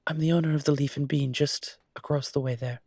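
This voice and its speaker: A man's voice: fairly high pitch, fairly even intonation, fairly quick, darkish tone, no room sound, clean studio sound. A man playing a polite, eager coffee shop owner with formal, effusive manners, in a roleplay audio drama. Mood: apologetic, friendly.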